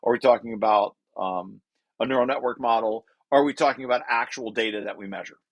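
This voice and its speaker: A man presenting a technical paper, reading passages aloud and breaking off into informal, halting explanations with repeated restarts. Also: mid-range voice, no room sound, highs cut off.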